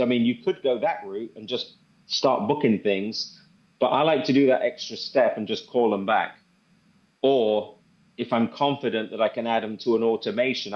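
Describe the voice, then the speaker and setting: Man pitching software, dull lo-fi sound. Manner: conversational, repeats phrases for emphasis, acts out sample phone calls. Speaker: presenter demonstrating software to a group. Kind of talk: informal product demo and sales pitch. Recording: dull, band-limited sound.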